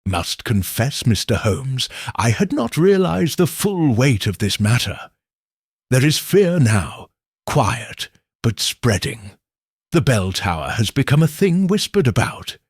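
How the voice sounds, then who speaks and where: A man voicing a weary, troubled middle-aged vicar, with a husky voice. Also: mid-range voice, big pitch swings, unhurried, no echo, studio quality, exaggerated voice.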